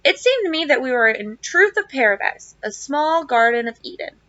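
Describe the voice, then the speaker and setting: A woman with a high, bright voice speaks as a teacher reading to children. Her phrasing is expressive, with clear emphasis for young listeners. The recording is dry and slightly dull, lo-fi. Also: normal speed, dry recording. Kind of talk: read-aloud of a children's novel with casual asides.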